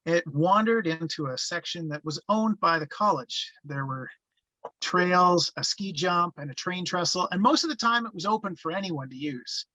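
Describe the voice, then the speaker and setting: A man's voice: sits high, moderate pace, sharp tone, dry recording, low-quality recording. A man narrating memories of his youth as a storyteller. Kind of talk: reminiscing narration.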